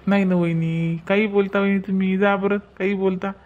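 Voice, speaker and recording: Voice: mid-range voice, level tone, fairly quick, a little mellow, dull audio, close to an everyday voice. A performer voicing a bashful, eager young man in an amateur cartoon family drama, with a Vidarbha Marathi accent. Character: bashful young man smitten with a prospective bride.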